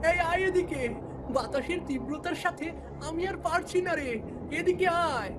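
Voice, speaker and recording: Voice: very high-pitched, moderate pace, crowd noise, noisy background. A performer voicing a stubborn, careless village boy in a cartoon, whiny and pleading when things go wrong. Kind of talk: cartoon voice acting.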